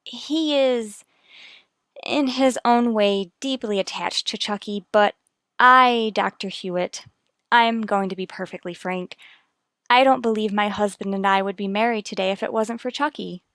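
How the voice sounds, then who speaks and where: A woman's voice: medium pitch, measured pace, fairly bright tone, no room sound. Hesitant, worried mother, close and dry. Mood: troubled, confiding. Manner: hesitant, careful, confiding. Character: worried, earnest young mother confiding about her son. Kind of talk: vintage radio soap opera acting.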